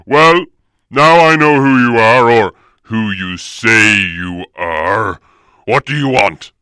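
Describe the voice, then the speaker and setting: A huge, wary bear of a man growls bluntly in a fantasy storybook. The voice is gruff and booming, with a slow, heavy pace and a forceful edge, recorded close and dry. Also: dry recording. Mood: gruff, grudging. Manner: blunt, forceful, laughing to himself.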